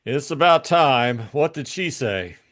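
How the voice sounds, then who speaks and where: A man's voice: medium pitch, animated, slow, a bit bright. A man playing a cool, cynical private detective in an audiobook, speaking in a blunt, sardonic, matter-of-fact way. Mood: curt, wry. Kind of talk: audiobook character acting.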